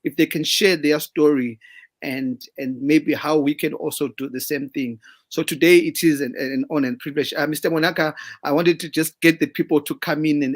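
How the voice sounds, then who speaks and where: A man with a Zimbabwean accent hosting an online interview show, formal and full of fillers and restarts. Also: fairly high pitch. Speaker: host.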